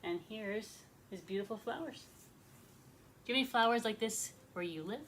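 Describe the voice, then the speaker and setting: A woman's voice: medium pitch, moderate pace, fairly bright tone, slight background noise. A woman leading a children's story time, narrating expressively and chatting with young listeners in simple words. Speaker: rabbi telling stories to children.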